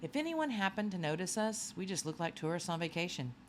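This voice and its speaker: A woman reading a children's novel aloud in an animated storytelling manner. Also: fairly low pitch, fairly quick, a little hiss or hum. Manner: animated storytelling with acted dialogue. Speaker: audiobook reader.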